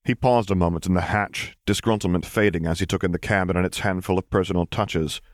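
A man's voice: low-pitched, steady tone, fast, dry recording, studio quality. A man reading a scripted story as an audiobook narrator.

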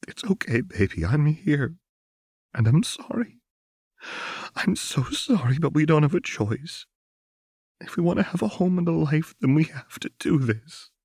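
A man reading the part of a gentle, resolute young wife in an audiobook, soft, earnest and sorrowful. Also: a little high, very animated, unhurried, breathy edge, no room sound, clean studio sound, pitched above the performer's own voice, exaggerated voice. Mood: tearful, soothing.

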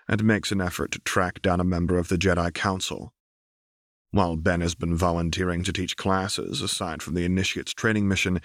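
Male audiobook narrator, low register, dry studio-tight sound, scripted fiction. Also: dry recording. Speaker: audiobook reader.

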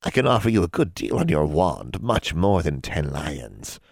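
A man voicing a sly old female hag who lends money, teasing and cackling with drawn-out words. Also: very deep voice, big pitch swings, creaky, dry recording, clean studio sound, heavily acted. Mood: mocking.

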